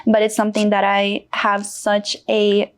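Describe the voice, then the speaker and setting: A young woman from North Carolina talks as a guest on a casual podcast. Her delivery is outspoken and lively, peppered with swearing and fillers, and her voice sits at a middling pitch for a woman. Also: a little slow. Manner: blunt, animated, frequent swearing and "like".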